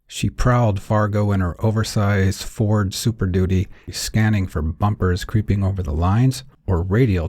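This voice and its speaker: A man narrates a dark story in a low, mellow voice. His delivery is measured and wry, with a theatrical edge, and the recording is close and dry. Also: slightly rough, no room sound. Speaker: narrator and author. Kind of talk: scripted fiction narration, noir storytelling.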